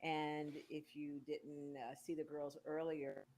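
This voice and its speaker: A woman's voice: low-pitched, level tone, slow, darkish tone, slight background noise, poor audio. A woman in her sixties hosting a casual talk show, quizzing her guests in a playful, game-like way. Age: 60s. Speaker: radio show host.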